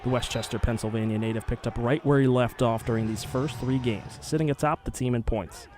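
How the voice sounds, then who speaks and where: A man's voice: mid-range voice, fairly even intonation, a little fast, darkish tone, slight background noise. A man narrating a scripted sports news package as a television reporter.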